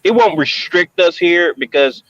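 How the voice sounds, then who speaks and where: Man guest on a lo-fi dry call feed, halting and thinking aloud. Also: fairly high pitch, fairly bright tone, dry recording. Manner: hesitant, many ums and restarts. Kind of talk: casual video call chat.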